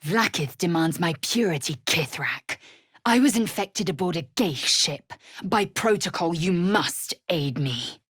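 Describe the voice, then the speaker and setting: Game role: fierce alien warrior woman, very low and raspy, scornful, zealous, formal diction with big swings in pitch. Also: animated, a little slow, no echo, studio quality, exaggerated voice. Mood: resolute, zealous. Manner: imperious, clipped, formal, scornful. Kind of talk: video game character acting.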